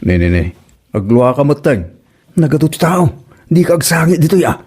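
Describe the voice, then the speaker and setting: A man's voice: a little high, very animated, moderate pace, a little mellow, slightly rough. A man acting a grumbling, put-upon village husband with an Ilocano Filipino accent and an exasperated, scolding manner in a comedy drama.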